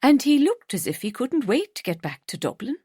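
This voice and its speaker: A woman's voice: high-pitched, big pitch swings, a little fast, dark tone, breathy edge, no room sound, studio quality, voice pushed up, full character voice. A woman doing a blunt, worried grandmother. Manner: alarmed then blunt and frank. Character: concerned outspoken grandmother, older woman.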